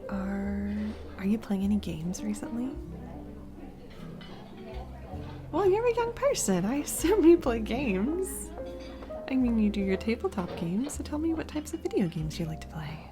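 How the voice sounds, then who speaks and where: A woman playing a flustered single mom on a blind date in a romantic audio roleplay, speaking haltingly with nervous giggles. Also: fairly high pitch, very animated. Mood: curious, self-conscious. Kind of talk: romantic audio roleplay, intimate conversational acting. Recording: music playing behind the voice. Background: music, restaurant ambiance.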